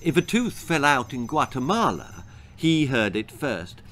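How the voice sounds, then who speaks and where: A man's voice: medium pitch, sing-song, a little slow, a bit bright. A man in his sixties narrating a children's story in a theatrical, emphatic manner. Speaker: author reading his own stories. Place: small indoor room lined with books.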